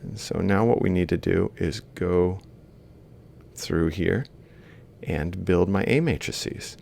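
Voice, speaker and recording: Deep, very gravelly male lecturer. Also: takes it slowly, darkish tone, a little hiss or hum. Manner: informal, thinking aloud while writing. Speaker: university lecturer. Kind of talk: worked-example robotics lecture, informal step-by-step explanation.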